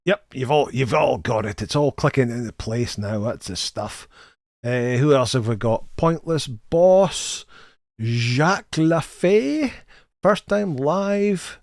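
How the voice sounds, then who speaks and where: A man's voice: medium pitch, sing-song, takes it slowly, gravelly, a little mellow, no room sound, studio quality. A middle-aged man hosting a casual live game stream, greeting viewers in a chatty, friendly way and often repeating the first words of a sentence. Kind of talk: casual live stream chat, reading chat names.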